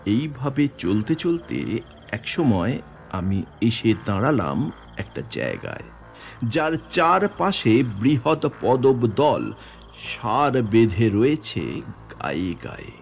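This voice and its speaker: A man narrating a horror story in the first person, with suspenseful, theatrical, deliberate phrasing over music. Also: mid-range voice, big pitch swings, gravelly.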